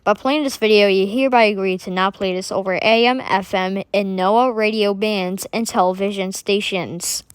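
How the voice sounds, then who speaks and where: A computer-generated woman reads announcements in an even, precise cadence. Her voice is smooth and crisp, recorded dry and close. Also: mid-range voice, normal speed, hardly any pauses, dry recording. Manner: even, precise, robotic delivery. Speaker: disclaimer and alert announcer. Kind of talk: synthetic announcement.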